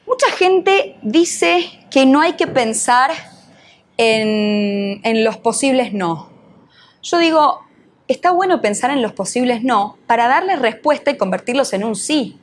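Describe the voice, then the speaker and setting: Una mujer con acento argentino, entrenadora que da un taller en vivo y le hace preguntas al público. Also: female voice, on the high side, slight background noise. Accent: Argentine Spanish. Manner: questions the audience, uses voseo, teacherly. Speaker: NLP trainer and coach. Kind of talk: live workshop talk.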